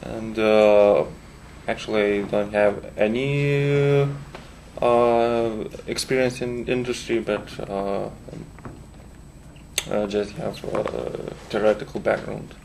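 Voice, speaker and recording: An exchange student from Lithuania introduces himself with many pauses. He speaks slowly in a lowish voice with a gravelly edge. Faint background noise sits under him. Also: little pitch movement, a little mellow, low-quality recording. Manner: hesitant, halting. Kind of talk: self-introduction.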